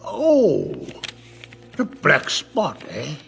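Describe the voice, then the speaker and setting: An actor playing a wily, domineering middle-aged pirate captain with a gravelly voice, theatrical and full of rustic sailor talk, in an audio drama. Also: male voice, high-pitched, wildly swooping pitch, extremely slow, fairly bright tone, faint music behind, exaggerated voice. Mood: mocking.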